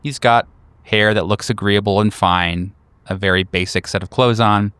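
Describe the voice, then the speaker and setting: Male game master, lower pitch, clean smooth tone, dry close sound, descriptive improvised narration, pauses. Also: fairly even intonation, fairly bright tone, dry recording.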